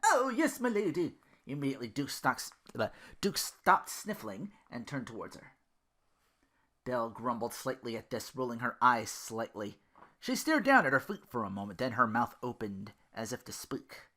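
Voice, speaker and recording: A podcast host reading fan fiction aloud in an animated, expressive way. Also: medium pitch, normal speed. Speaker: host and voice performer.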